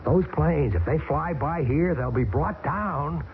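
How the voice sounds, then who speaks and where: An actor playing a stranded air force lieutenant in an old radio drama, urgent and defiant. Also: male voice, a little high, measured pace, close to an everyday voice. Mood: urgent defiance. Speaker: lieutenant, lead character. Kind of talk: 1970s radio drama acting. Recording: tinny, hissy old radio broadcast.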